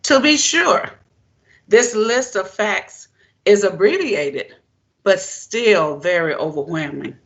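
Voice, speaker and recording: A woman giving a formal prepared keynote speech as a presenter, in deliberate, emphatic, measured phrases. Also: medium pitch, expressive, muffled recording. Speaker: presenter, education keynote speaker.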